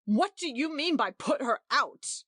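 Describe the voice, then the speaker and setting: A woman's voice: high-pitched, expressive, a little slow, fairly bright tone, no echo, studio quality, pitched above the performer's own voice. A woman voicing a young husband who speaks curtly and with scorn. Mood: scornful. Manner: curt and scornful. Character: contemptuous, protective young husband.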